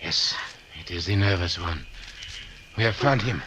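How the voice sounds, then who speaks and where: An actor playing a grave, urgent woodsman in an old radio drama, speaking in formal, deliberate phrases. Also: low voice, noisy background. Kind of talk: 1940s radio acting.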